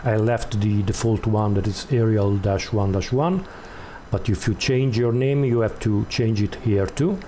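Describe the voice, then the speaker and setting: Male tutorial host, unhurried and halting, slightly soft-toned, with light background noise. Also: on the low side. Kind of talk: step-by-step screen tutorial, unscripted explanation.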